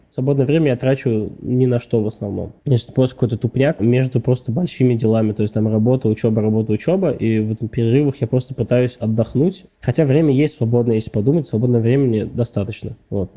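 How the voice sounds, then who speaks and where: Guy rattling along fast on a podcast, very dark mellow tone, hedges a lot. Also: medium pitch. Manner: quick, hedging, thinking aloud. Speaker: podcast guest. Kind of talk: casual podcast interview talk.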